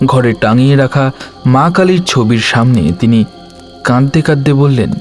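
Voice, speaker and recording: A male narrator with a mid-pitched voice tells a scripted horror tale. His phrasing is dramatic and measured, broken by pauses, with faint music underneath. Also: lively intonation.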